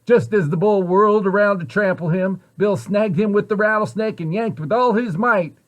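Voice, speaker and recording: A man reading a children's story aloud in an expressive way, with deliberate, dramatic emphasis. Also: sits high. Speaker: storybook reader, site director at a children's center.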